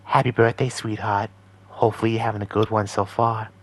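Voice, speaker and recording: A man's voice: lowish voice, flat delivery, fairly quick, dry recording. A man hosting as an amateur voice impressionist, chatting casually to viewers in a rambling, self-deprecating way. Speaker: amateur voice impressionist and video host.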